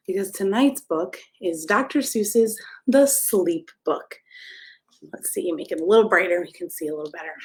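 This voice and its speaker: A woman's voice: mid-range voice, darkish tone, rough recording. A woman reads a rhyming picture book expressively to children, playfully speaking to her young listeners as she goes. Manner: playful, addressing young listeners directly with questions. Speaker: pastor reading a bedtime story.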